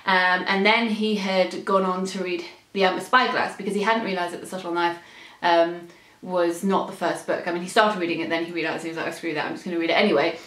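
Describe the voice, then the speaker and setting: A woman's voice: on the low side, steady tone, fast talker, few pauses, fairly bright tone. A young woman in a casual, chatty book discussion, now and then voicing quoted thoughts. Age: young adult. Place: indoor room lined with bookshelves. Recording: roomy echo.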